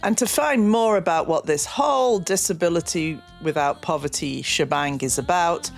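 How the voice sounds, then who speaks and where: Low-voiced woman over faint music. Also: normal speed, vocal fry. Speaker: podcast co-host. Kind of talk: casual podcast outro.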